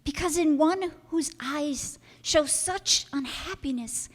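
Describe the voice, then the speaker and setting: An innocent young girl from an old-time radio drama, played by a woman. Her voice is high, and she speaks with earnest, trusting frankness and gentle chiding. A long hall reverb surrounds her. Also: slow.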